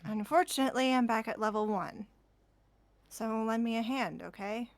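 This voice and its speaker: Woman voicing a wry, high-voiced teenage girl. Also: moderate pace, lightly acted. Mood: playful, fond. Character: teasing, wry teenage girl, fond of her friend. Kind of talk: video game fandub acting.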